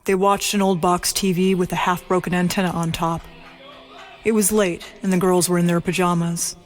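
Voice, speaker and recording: A woman narrating a scripted audio drama in a measured, matter-of-fact way. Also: lowish voice, little pitch movement, soft background music.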